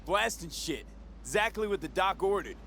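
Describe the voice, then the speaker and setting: A man's voice: sits high, lively intonation, normal speed, pushed and bright, a little hiss or hum, exaggerated voice. A man voicing a wry, cocky young mercenary in a video game cutscene, dry and sardonic. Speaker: game character. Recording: game audio with music behind.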